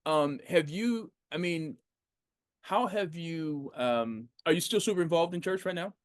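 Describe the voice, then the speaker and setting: A man in his fifties from the United States, hosting a faith podcast and talking in an animated, conversational way with plenty of fillers and restarts. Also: fairly high pitch, unhurried, dry recording, studio quality. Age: 50s. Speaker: podcast host. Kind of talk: casual interview.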